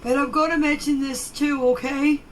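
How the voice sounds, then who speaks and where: A middle-aged or older woman giving an informal personal account in a halting, plain-spoken, insistent manner. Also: high voice, level tone, low-quality recording. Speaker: private person giving her account in voice clips. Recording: amateur voice clips with background noise.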